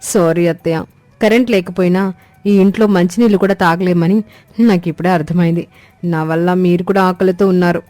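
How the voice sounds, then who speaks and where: A woman's voice: on the low side, steady tone, brisk, dark tone, dry recording, faint music behind, close to an everyday voice. A woman voicing a polite, gadget-proud young city daughter-in-law in a cartoon story, mixing English words into her Telugu. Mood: apologetic.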